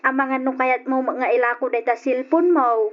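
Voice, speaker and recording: A woman's voice: sits high, moderate pace, highs cut off, natural voice. A woman playing a meek, hard-up mother in an old radio drama, pleading and apologetic, with an Ilocano accent. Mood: resigned, gentle.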